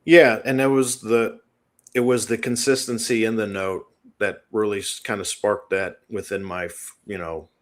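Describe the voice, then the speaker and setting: A man's voice: on the low side, little pitch movement, normal speed. A man guest on an interview show, explaining a written analysis in a tentative, thinking-aloud way.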